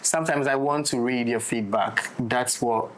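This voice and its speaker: A man with a Ghanaian accent leads an informal roundtable conversation. His pitch is medium, and he often repeats words and phrases to stress his point. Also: fairly even intonation, normal speed. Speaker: director, discussion host. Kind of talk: casual roundtable chat.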